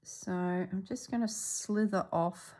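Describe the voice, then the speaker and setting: A middle-aged woman from Australia chatting casually as she stitches, a little congested and clearing her throat, sometimes dropping to soft murmurs. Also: mid-range voice, slow pace. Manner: chatty, relaxed, drops into soft murmurs while working. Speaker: stitching vlogger. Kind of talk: casual chat while stitching.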